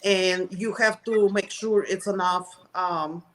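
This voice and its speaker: A woman's voice: mid-range voice, fairly even intonation. A middle-aged woman from Russia with a Russian accent, answering casually in an interview and sometimes searching for words in English. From Russia, Soviet Union. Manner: halting, searching for words in non-native English. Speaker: interview guest.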